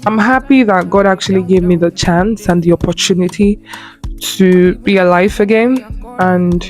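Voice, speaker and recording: A woman's voice: fairly low pitch, normal speed, a little mellow, soft background music. A woman in her early twenties with a Nigerian Igbo accent, whose first language is Igbo, chatting earnestly to camera about her own life, with many fillers and restarts. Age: early 20s. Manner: chatty and earnest, with frequent fillers and self-corrections. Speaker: lifestyle creator. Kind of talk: personal storytelling to camera.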